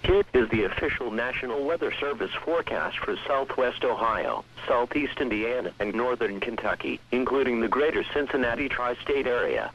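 Synthetic male weather radio announcer, American, mid-pitched, impersonal scripted read, dull broadcast sound. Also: gravelly, husky. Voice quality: synthetic text-to-speech voice. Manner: measured, impersonal. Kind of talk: scripted weather bulletin reading.

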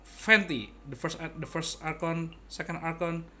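A young adult man giving casual, playful gaming commentary to his viewers like an excitable showman. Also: fairly high pitch, moderate pace, slight background noise. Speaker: game streamer. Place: indoor gaming setup at home. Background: game music.

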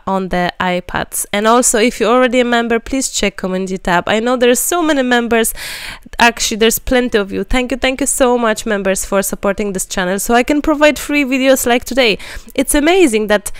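A woman from Poland with a Polish accent, chatting happily as she works through a craft tutorial, a little hoarse and rambling. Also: a little high, no room sound, clean studio sound. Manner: bubbly, rambling, lively chatter. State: slightly hoarse, clears throat. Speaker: craft channel host. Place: indoors at a craft table.

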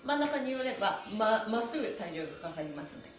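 A middle-aged woman hosting a livestream stretching class, chatty and encouraging, with an energetic, sing-song way of instructing. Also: fairly high pitch, quick pace, faint music behind. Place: small bare-walled indoor studio room. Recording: roomy echo, mic a little away.

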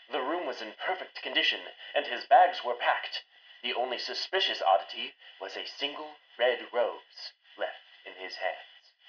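A man's voice: mid-range voice, lightly acted. A man playing a ceremonious, fussy household butler in an old-time radio melodrama. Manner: ceremonious, deliberate delivery. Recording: dull, band-limited old-time radio sound.

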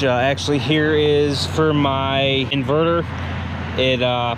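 Male DIY host, ordinary mid pitch, casual work-along delivery, action-camera sound with noticeable outdoor noise. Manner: informal, thinking aloud while working. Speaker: DIY how-to video host. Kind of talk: casual hands-on tutorial narration. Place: outdoors beside a vehicle in daylight. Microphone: action camera held or mounted near him.

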